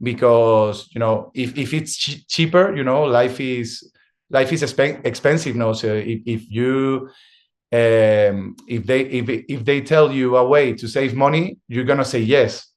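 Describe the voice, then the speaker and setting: A young adult man from Spain with a Spanish accent, a guest in an interview, speaking English as a second language and talking haltingly, with frequent restarts as he searches for words. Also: mid-range voice. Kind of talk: conversational interview.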